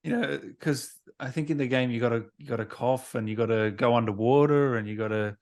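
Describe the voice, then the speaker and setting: A man hosting a relaxed, informal interview, chatting and putting questions to his guest. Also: mid-range voice, a little fast. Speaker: podcast host, interviewer. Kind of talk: casual interview chat.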